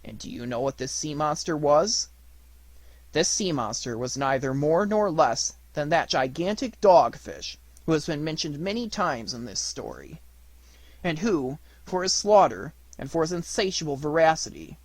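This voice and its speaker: A man reading a children's storybook as an audiobook narrator, in a dramatic, deliberate manner. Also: a little high, animated, dry recording.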